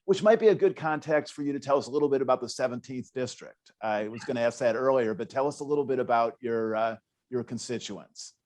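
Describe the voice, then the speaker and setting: A man's voice: medium pitch, fast talker, a little mellow. Man reading audience questions. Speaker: interviewer, institute director.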